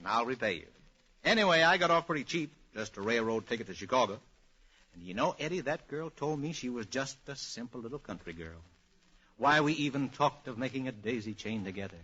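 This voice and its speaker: Flustered, swooping radio comedy gentleman. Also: medium pitch, lively intonation, a little fast, husky. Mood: blustering. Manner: stammering, spluttering. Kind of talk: 1940s radio comedy acting. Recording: muffled old radio recording.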